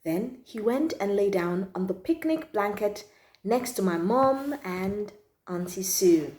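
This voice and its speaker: A woman reading a children's story aloud in an expressive, emphatic manner, drawing out key words and adding little asides to listeners. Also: medium pitch, takes it slowly, roomy sound. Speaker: storytime channel host reading children's books.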